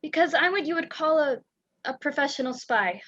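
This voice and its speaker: A woman's voice: high-pitched, animated, fairly quick, a bit bright. A young woman playing a frightened, secretive spy in an amateur radio play, speaking urgently and dramatically. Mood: tense, earnest. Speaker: student actor in a school radio play. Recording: dull lo-fi home recording.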